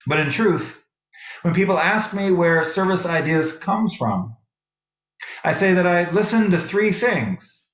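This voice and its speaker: Higher-voiced man preaching in a roomy space. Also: expressive, a bit bright, dull audio. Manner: measured, deliberate, phrase-by-phrase delivery with pauses. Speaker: minister preaching to a congregation. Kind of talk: sermon with poetry readings. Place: echoey worship space.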